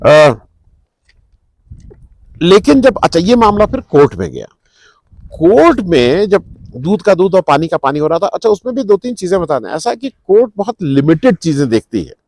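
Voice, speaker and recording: A man's voice: sits high, sing-song, moderate pace, darkish tone, no echo. A middle-aged man gives unscripted political commentary, explaining things to viewers in a conversational way and mixing in English words. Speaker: news commentary host.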